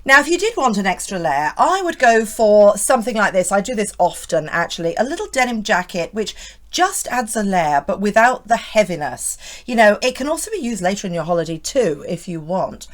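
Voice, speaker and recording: A hoarse woman in her fifties gives a chatty, reassuring run-through of outfits. Her pitch rises and falls a great deal as she talks, and she keeps turning to the viewer with little tag questions. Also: big pitch swings, brisk, fairly bright tone. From United Kingdom. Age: 50s. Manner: chatty, reassuring, often asks the viewer "isn't it". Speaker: style vlogger. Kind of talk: friendly scripted fashion vlog.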